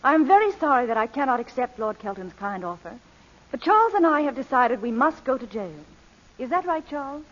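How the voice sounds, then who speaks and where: Poised, high-voiced charming lady thief. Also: sing-song, brisk, a bit bright, heavily acted. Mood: coolly frank. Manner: genteel, teasing, mock-innocent. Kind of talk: 1940s radio drama acting. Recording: dull lo-fi old radio recording.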